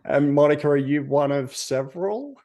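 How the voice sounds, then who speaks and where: A man interviewing a guest, gently prompting with short agreements. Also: on the high side, normal speed. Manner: gentle, encouraging, many mms. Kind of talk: oral history interview.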